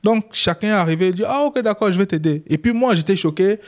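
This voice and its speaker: A man's voice: high-pitched, fast. Young Chadian man telling stories animatedly. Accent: Chadian French. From Chad. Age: young adult. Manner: animated, acts out quoted dialogue. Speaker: guest lawyer. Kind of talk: casual interview storytelling.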